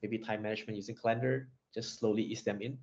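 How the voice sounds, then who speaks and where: A man's voice: on the low side, steady tone, darkish tone, muffled recording. A man hosting a podcast interview, asking follow-up questions and affirming the guest often. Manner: brisk, frequent affirming backchannels. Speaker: podcast host.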